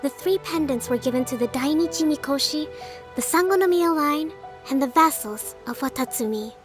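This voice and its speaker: Lady doing a calm gracious young priestess from a game, high voice, formal and measured, bit of music behind. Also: lightly acted. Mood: calm, explaining. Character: young female island priestess leader, composed and gracious. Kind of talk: dramatic video game acting.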